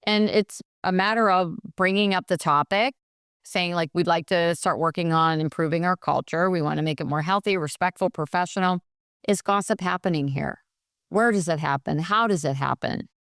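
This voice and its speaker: Energetic woman, smooth low voice. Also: no room sound, studio quality. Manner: energetic, practical, acts out quoted dialogue. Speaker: nurse consultant guest. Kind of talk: podcast interview answer.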